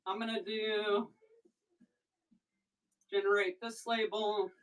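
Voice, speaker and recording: A woman from Missouri with a deep voice, chatting casually on a livestream while she packs and ships orders, often breaking off mid-thought to handle a task. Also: level tone, takes it slowly, low-quality recording. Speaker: online seller streaming a live sale.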